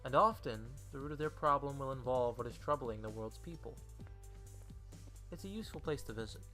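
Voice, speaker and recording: Casting: man to play composed male superior in visual novel, medium pitch, teasing and measured delivery, music bed. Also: sharp tone, natural voice. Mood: calm explaining. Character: unhurried, smug male superior, teasing mentor. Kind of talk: visual novel dub dialogue.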